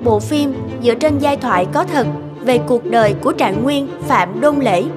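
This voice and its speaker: A woman's voice: fairly high pitch, moderate pace, over loud music. A woman reading scripted narration for a folktale as its narrator.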